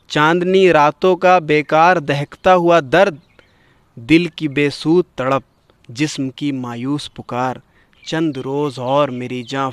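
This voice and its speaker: A man with a fairly high voice presents and recites poetry. His phrasing is formal and literary, delivered with declamatory emphasis in a dry, close sound. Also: clean tone, no room sound.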